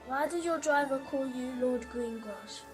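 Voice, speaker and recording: A woman acts out a young orphan boy with a high voice. He sounds earnest, polite and curious, like a child in a dubbed fantasy comic. Soft music runs underneath. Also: a little slow. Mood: questioning. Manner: earnest, simple, questioning. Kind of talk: scripted fan comic dub dialogue.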